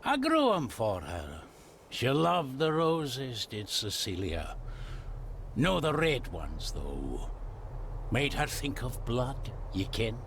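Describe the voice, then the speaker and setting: Gruff, slow-talking old Scottish gardener. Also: male voice, mid-range voice, wildly swooping pitch, fairly bright tone, a little hiss or hum, heavily acted. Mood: wistful, stubborn. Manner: blunt, dialect-laden, guarded. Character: gruff, old-fashioned, superstitious older Scottish male gardener. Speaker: character actor in a video game. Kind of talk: dramatic game cutscene dialogue.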